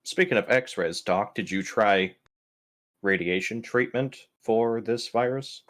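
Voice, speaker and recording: Low-voiced man, wry and questioning. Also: takes it slowly, a bit bright. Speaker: tabletop roleplay player. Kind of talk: casual in-character roleplay chat.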